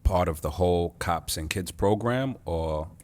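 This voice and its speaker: A man's voice: deep voice, unhurried. A man hosting a casual interview in a boxing gym, hyping the intro and then asking questions in an easygoing way. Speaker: interviewer, show host.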